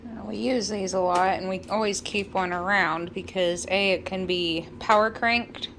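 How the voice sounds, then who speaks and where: A woman's voice: medium pitch, steady tone, moderate pace, a bit bright, slight background noise, poor audio. An American woman co-hosting with casual, conversational explanation and a wry manner. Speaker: co-host.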